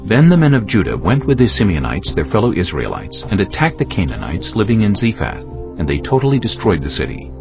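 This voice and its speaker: A man narrating scripture from a script in a measured, solemn storytelling manner. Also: fairly low pitch, huge pitch swings. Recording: dull lo-fi, music behind. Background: music.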